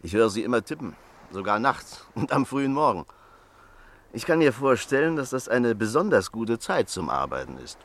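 A man's voice: mid-range voice, big pitch swings, normal speed. An actor in a radio play voicing a stocky, pushy, jovial American neighbor in his fifties who talks insistently and chuckles.

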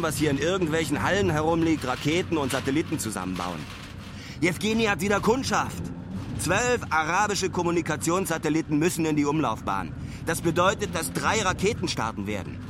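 A man's voice: on the high side, noisy background, lightly acted. A man playing a weary traveler who gives a diary-like radio drama account from a decayed launch site, in German. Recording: loud outdoor machinery and vehicle ambience.

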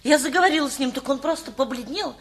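A woman's voice: high voice, fast talker. An actress playing an anxious, fretful mother in a radio play, emotional and sighing.

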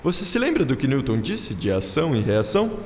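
A man's voice: mid-range voice, very animated, fairly quick, darkish tone, a little hiss or hum, natural voice. A man playing a courteous, proud Brazilian inventor-aviator in a radio drama, explaining science theatrically with French words sprinkled in. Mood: enthusiastic, explaining. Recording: roomy reverberant sound with music behind.

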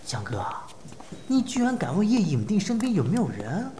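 A woman's voice: medium pitch, sing-song, normal speed, mellow, a little hiss or hum, lightly acted. A woman playing a chummy, nosy young assistant who is easily amazed, in a modern audio drama. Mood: curious, amazed. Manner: chatty, incredulous, curious.

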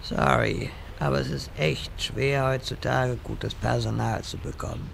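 A man playing a theatrical, secretive quest-giver in a comedic audio drama parody, speaking in a ceremonious, deliberate way. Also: mid-range voice, steady tone, vocal fry, a bit bright, slight background noise. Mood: hurried, apologetic.

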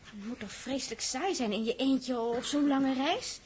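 Very high, girlish, naive young stowaway. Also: moderate pace, a little mellow, husky. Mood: chatty, coquettish. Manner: breathless, girlish, stammering, coquettish. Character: naive, chattering teenage schoolgirl stowaway aboard a spaceship. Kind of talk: 1960s Dutch radio drama acting.

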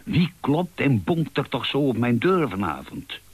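A kindly, fussy old-fashioned owl from a storybook, as a male narrator puts him on. The voice is lifted up from a slow, husky delivery, polite yet easily flustered. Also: mid-range voice, very animated, a little mellow, dry recording, voice pushed up. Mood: puzzled.

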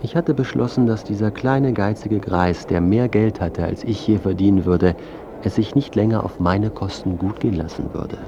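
An actor playing a pushy, self-important, scheming journalist who also narrates, by turns flustered, ingratiating and smug, in a radio drama. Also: male voice, lowish voice, normal speed, muffled recording, noisy background, close to an everyday voice. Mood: smug, calculating. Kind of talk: radio drama acting with first-person narration.

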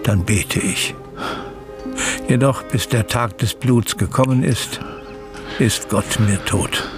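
A solemn radio-play revolutionary who declaims like a prophet. The voice is low and very slow, with measured, incantatory phrasing. Music runs underneath. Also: male voice. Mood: solemn, defiant. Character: solemn male revolutionary, father, poet-like prophet of blood and freedom. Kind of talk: German radio drama acting, declamatory.

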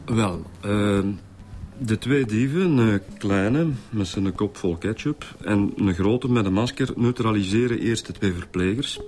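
An actor playing a matter-of-fact, colloquial Flemish detective reporting in a radio drama. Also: male voice, fairly low pitch, a little slow, a little mellow, slight background noise, close to an everyday voice. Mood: explanatory. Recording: music under the dialogue.

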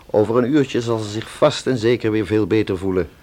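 Man acting a composed doctor, low-pitched, soothing, measured, courteous, radio drama. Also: dark tone, close to an everyday voice. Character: calm, reassuring doctor at a bedside. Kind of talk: Dutch radio thriller serial acting.

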